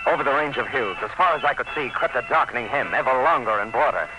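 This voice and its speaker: A man's voice: medium pitch, animated, quick pace, close to an everyday voice, poor audio. A man acting as an anxious, fascinated colonial commissioner in a radio drama, with grave, suspenseful and literary delivery. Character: anxious, fascinated commissioner recounting a jungle ordeal. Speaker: actor and narrator in a radio drama. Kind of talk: dramatic first-person radio narration and dialogue. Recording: radio drama with music behind.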